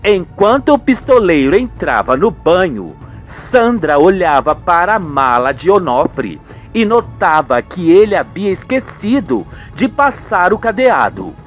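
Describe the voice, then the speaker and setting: High-pitched, slow Brazilian male narrator. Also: big pitch swings, soft background music. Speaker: radio soap narrator. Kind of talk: dramatic scripted narration.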